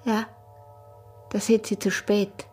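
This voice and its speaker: An actress with an Austrian accent playing a smuggler's wary, teasing peasant wife in a radio drama, her voice breathy and husky. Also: mid-range voice, flat delivery, takes it slowly, darkish tone, soft background music, lightly acted. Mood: teasing, flirtatious. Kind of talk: intimate radio drama acting in dialect.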